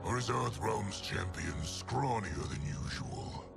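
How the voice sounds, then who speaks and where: A man's voice: on the low side, creaky, over loud music, full character voice. A man playing a menacing warlord general in a video game, mocking and taunting. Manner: slow, taunting. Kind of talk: cinematic video game acting.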